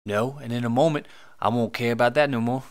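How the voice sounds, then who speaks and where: A man's voice: medium pitch, fast, a little hiss or hum, lightly acted. A man playing a notorious, smirking outlaw in a Western fan audio drama, sly, unruffled and coolly taunting.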